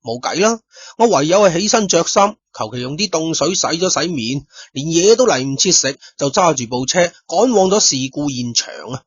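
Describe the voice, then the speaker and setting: Guy narrating a detective story like he's chatting with you, husky voice, dull lo-fi dry sound. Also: a little high, moderate pace, no room sound. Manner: chatty, addresses listeners directly, lively asides. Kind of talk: first-person Cantonese storytelling. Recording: dull lo-fi dry studio recording.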